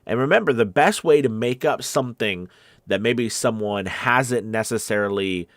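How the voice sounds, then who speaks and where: A man's voice: mid-range voice, moderate pace, no echo, clean studio sound. A man giving a casual, conversational lesson as a voice acting coach, encouraging and often checking in with the listener. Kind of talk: casual instructional talk.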